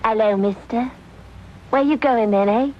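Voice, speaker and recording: Actress as a street woman, wheedling, high voice, lo-fi radio recording. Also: measured pace, slight background noise, lightly acted. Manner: wheedling, ungrammatical street talk. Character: coarse London streetwalker woman, pushy, then terrified. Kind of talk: radio drama acting. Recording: dull lo-fi old radio recording.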